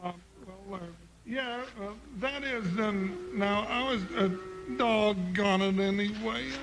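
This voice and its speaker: An actor playing a jittery, loyal cowboy sidekick in a radio western, folksy and blustering with an American Western drawl. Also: male voice, high-pitched, takes it slowly. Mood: flustered. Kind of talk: 1950s radio western acting. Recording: noisy, clicking vintage radio recording.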